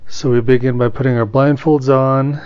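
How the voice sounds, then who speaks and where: A man leading a guided meditation as its teacher, speaking in a calm, unhurried, soothing way with long pauses between instructions. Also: medium pitch, clean tone, muffled recording.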